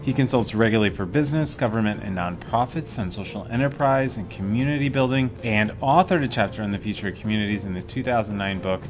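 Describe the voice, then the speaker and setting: A man's voice: lowish voice, fast talker, few pauses, muffled recording, music in the background. A man hosting a podcast, reading an upbeat scripted intro and then interviewing a guest. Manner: upbeat, announcer-like. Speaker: podcast host.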